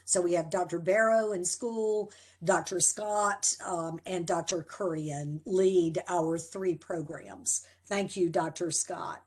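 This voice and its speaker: A woman, the program coordinator, introducing faculty members one by one on a video call in a measured, formal way. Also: medium pitch. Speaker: program coordinator hosting orientation.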